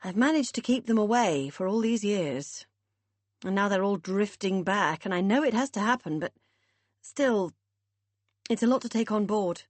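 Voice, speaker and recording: A woman's voice: mid-range voice, lively intonation, moderate pace, no echo, lightly acted. Woman reader doing a middle-aged mum, exasperated and cross, dry close sound. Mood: weary. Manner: angry outbursts, then weary sighing. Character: middle-aged British mother, exasperated, furious at family.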